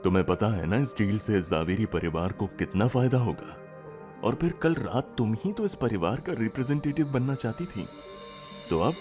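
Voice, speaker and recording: A man's voice: on the low side, animated, moderate pace, music in the background, lightly acted. A man playing an arrogant, scheming middle-aged uncle of a rich family in an audio drama, pompous and sarcastic. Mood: needling. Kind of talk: Hindi audio drama acting.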